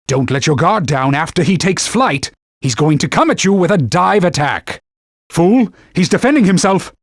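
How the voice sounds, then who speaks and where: A proud talking sword from a video game, a stern mentor who barks combat advice and scorns his partner. His husky voice sits high and swings widely in pitch. The studio recording is dry and close. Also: dry recording, heavily acted. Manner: scolding, calls his partner fool and knave; commanding, gives combat tips.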